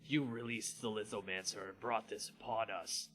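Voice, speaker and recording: A man's voice: fairly low pitch, fairly even intonation, normal speed, fairly bright tone, faint music behind, lightly acted. A man voicing a bitter, snarling male vampire lord with menacing, clipped delivery in a fantasy story. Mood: cold accusation. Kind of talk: dramatic fantasy story reading.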